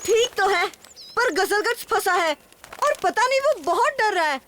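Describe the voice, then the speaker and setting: A woman's voice: very high voice, expressive, normal speed, fairly bright tone, a little hiss or hum. A woman voicing a brave, eager young jungle boy in a cartoon dub, reassuring and helpful. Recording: music underneath.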